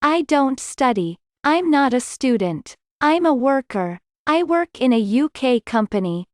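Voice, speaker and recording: High, lively woman reading lesson lines. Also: dry recording, studio quality. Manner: slow, clear, carefully spaced sentences. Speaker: lesson dialogue speaker. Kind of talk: scripted English lesson dialogue.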